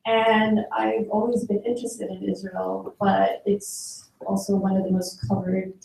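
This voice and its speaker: A soft-spoken young woman from Sweden with a gravelly, mellow voice talks over her own photography career. She speaks in a level, even tone, with plenty of pauses and fillers. The sound is echoey and set back, as if from a distance in a large hall. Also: medium pitch. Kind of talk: personal talk about her career.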